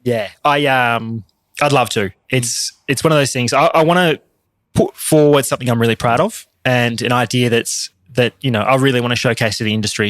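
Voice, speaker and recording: Australian man, mid-pitched, understated podcast guest in casual chat, dry recording. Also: a bit bright, no echo. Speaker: podcast guest, competition barista.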